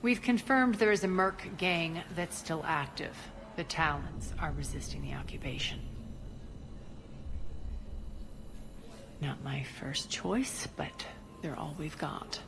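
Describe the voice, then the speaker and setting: A woman's voice: sits low, a little slow, gravelly. An actress playing an imperious, ruthless female crime boss in a video game, with a cool, sardonic, commanding manner. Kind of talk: dramatic video game acting. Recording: noisy ambience behind the dialogue.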